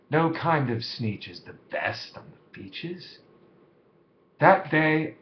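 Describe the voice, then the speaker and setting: A man reading a children's rhyming story aloud, with theatrical cadence and big shifts in volume. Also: medium pitch. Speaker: storybook reader. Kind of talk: dramatic children's story narration. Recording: muffled lo-fi, echoey room.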